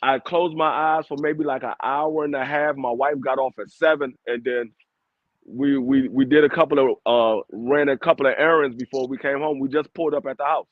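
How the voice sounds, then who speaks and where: A man's voice: mid-range voice, fairly even intonation, normal speed, no room sound, narrow, tinny audio. A man, co-hosting a podcast and chatting casually, joking and insisting, laughing often. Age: 40s. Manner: joking, emphatic, laughs a lot. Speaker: podcast co-host. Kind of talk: casual chat.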